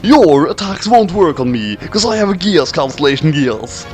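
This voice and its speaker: A man's voice: a little high, sing-song, moderate pace, voice pushed up. A man voicing a zealously loyal cyborg pilot, declaiming grandly in a story reading. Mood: defiant. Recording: music playing behind the voice.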